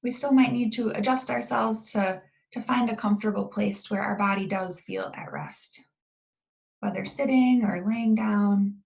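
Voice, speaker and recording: A woman leading a guided meditation in a calm, gentle, unhurried way with long pauses and a creaky voice. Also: medium pitch, rough recording.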